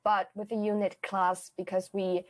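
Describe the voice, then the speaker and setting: A young woman from China speaking English with a Chinese accent, chatting as a student guest in a casual way, often halting and correcting herself. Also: medium pitch, level tone. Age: young adult. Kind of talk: casual podcast conversation.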